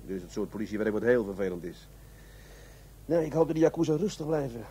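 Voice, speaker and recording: An actor playing an easygoing but concerned police adjutant in a radio play. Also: male voice, mid-range voice, animated, quick pace, mellow, husky.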